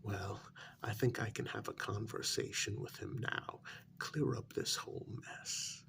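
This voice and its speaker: A man playing an anxious working-class older brother in a soft-spoken ASMR role-play, speaking in a hushed, deliberate, insistent way. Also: steady tone, gravelly, exaggerated voice. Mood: deferential, polite. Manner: low, deliberate, insistent, half-whispered warning.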